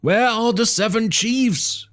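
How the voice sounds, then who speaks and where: Shrill frenzied old chief, screeching accusations, thin strained timbre, read by a man in a storybook, faint music behind. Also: takes it slowly, fairly bright tone, exaggerated voice. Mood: furious accusing.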